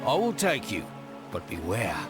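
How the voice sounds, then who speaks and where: A man's voice: lowish voice, huge pitch swings, normal speed, loud music behind the voice. An actor playing a grave ferryman in a video game, with a serious, ominous delivery. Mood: grave warning. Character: grave, cautioning male ferryman.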